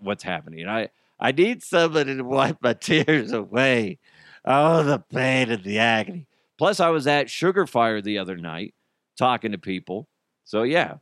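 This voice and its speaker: Hoarse, raspy man hosting a podcast. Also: medium pitch, fairly bright tone, no echo, studio quality. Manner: rambling, self-mocking, many restarts. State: hoarse, strained voice. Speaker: podcast host. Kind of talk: casual solo chat.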